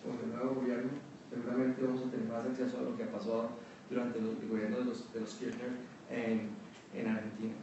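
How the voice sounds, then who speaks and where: A Colombian man with a mild, fairly neutral accent presents research at a panel, measured and hesitant, often pausing with fillers. His voice is dark and mellow, picked up from a distance. The big hall echoes heavily around him over a faint hum of room noise. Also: medium pitch, little pitch movement, dull audio. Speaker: panelist at an academic round table. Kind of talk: academic conference talk. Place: conference hall.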